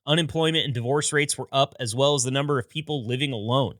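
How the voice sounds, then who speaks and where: A man's voice: medium pitch, quick pace, a bit bright, no echo, clean studio sound. A man hosting a podcast, reading a scripted history narration in an upbeat storytelling manner with wry asides. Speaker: podcast host.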